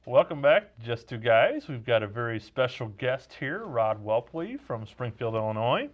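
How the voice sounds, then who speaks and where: A man's voice: medium pitch, big pitch swings, normal speed, lo-fi sound. A man co-hosting an interview show with casual, joking chat and a readiness to laugh.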